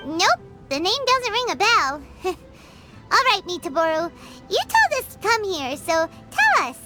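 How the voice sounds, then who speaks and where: An actress playing a small, cheerful, curious childlike companion creature in a video game, with a squeaky voice. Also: female voice, big pitch swings, unhurried, over loud music, heavily acted. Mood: curious, puzzled. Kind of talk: video game character acting.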